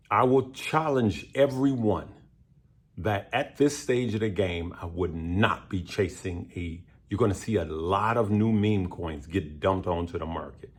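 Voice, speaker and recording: A man with a very deep voice, worn hoarse and nearly gone, hosts his own investing channel. He speaks casually and emphatically, pressing his points and checking in with his audience over and over. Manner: emphatic, addresses viewers directly with repeated "okay?" and "right?". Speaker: stock and crypto channel host. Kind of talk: casual direct-to-camera advice.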